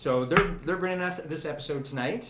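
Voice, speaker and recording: A man's voice: a little high, a little fast. Casual male podcast host in roomy studio. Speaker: show host and announcer. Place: indoor studio. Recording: roomy, dull, faint background noise.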